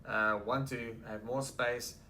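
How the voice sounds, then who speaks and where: Bright-voiced South African man explaining. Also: medium pitch, flat delivery, slow, slight background noise. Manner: practical, confident, advising with asides. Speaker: real estate sales executive. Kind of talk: explanatory interview answers. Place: real estate office.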